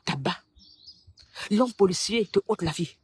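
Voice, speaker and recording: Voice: mid-range voice, animated, a little slow, breathy edge. A speaker from Cameroon delivers a preachy, rhetorical political monologue to the public. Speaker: political commentator.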